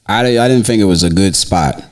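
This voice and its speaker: Deep-voiced male podcast host. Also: a little fast. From Ivory Coast. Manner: relaxed, conversational, many affirming murmurs. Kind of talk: casual interview.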